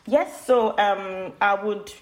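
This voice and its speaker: A woman's voice: mid-range voice, fairly even intonation, slow pace. A woman from Nigeria with a Nigerian accent, speaking as an informal, chatty podcast guest who feels her way through her phrasing. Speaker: guest, university postgraduate admissions officer. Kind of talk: conversational podcast interview. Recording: roomy, echoey sound.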